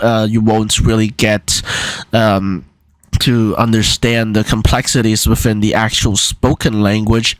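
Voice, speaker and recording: A man's voice: lowish voice, no room sound, rough recording. A man talking as an amateur linguist in a casual explainer vlog, hesitant, with many fillers and restarts.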